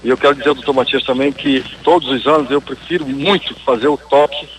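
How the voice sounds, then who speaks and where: A man's voice: mid-range voice, steady tone, fast, slight background noise, rough recording. A man in his fifties phoning into a radio show, chatty and effusive before asking his question. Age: 50s. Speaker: caller. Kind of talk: phone-in question. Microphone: phone line.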